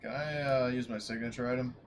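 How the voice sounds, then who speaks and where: A man playing a tabletop roleplaying game, chatting in a casual, halting and jokey way. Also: mid-range voice, fairly even intonation, a little hiss or hum. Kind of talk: casual joking game chat.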